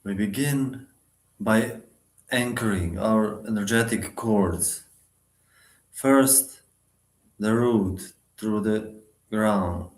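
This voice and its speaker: A man leading a guided meditation, giving instructions in short, sparse phrases with long pauses between them. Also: lowish voice, reverberant, rough recording.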